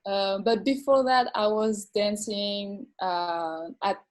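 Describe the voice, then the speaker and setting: Slow-talking woman with hesitant speech. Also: mid-range voice, steady tone, darkish tone. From France. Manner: hesitant, many fillers and repeated words. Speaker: dancer and dance teacher. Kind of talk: video call interview.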